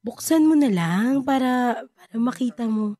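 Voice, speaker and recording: Radio drama mother, female actor: Filipino accent, high but mellow, guilt-ridden, halting and tearful. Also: expressive, a little hiss or hum, lightly acted. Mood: hesitant, remorseful. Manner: halting, repeating words, tearful. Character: anguished, guilt-ridden mother confessing to her daughter.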